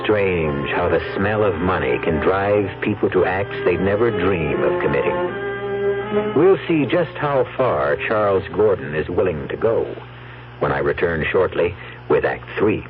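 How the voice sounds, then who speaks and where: A tinny old radio broadcast with prominent music under a male host. His low voice swings dramatically in pitch as he narrates a mystery with theatrical menace. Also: very animated. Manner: ominous, theatrical, deliberate. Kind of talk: old-time radio mystery hosting, scripted.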